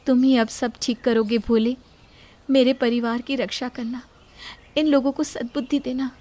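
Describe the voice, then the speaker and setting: Woman voicing a devout, gentle young wife. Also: on the high side, flat delivery, normal speed, pitched above the performer's own voice, natural voice. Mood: prayerful. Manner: earnest, pious.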